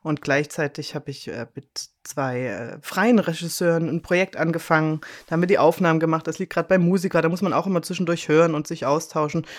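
A woman's voice: deep voice, brisk, few pauses. A woman speaking casually as an interview guest, chatting about her work as a director. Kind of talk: casual chat.

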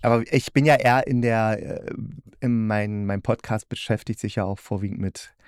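A man's voice: lowish voice, steady tone, moderate pace, smooth, no echo. Casual male podcast interviewer, dry sound.

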